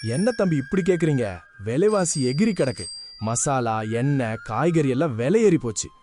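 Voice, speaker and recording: A man's voice: mid-range voice, animated, moderate pace, a little mellow, slight background noise, natural voice. A man voicing an older street food vendor who argues persuasively over prices. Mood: pleading.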